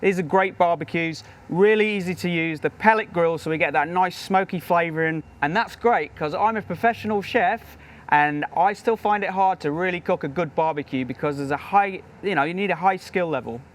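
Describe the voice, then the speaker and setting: A British man with a fairly high voice talks through a cooking demonstration as he works. He is a professional chef, upbeat and chatty, showing each step at the grill. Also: normal speed.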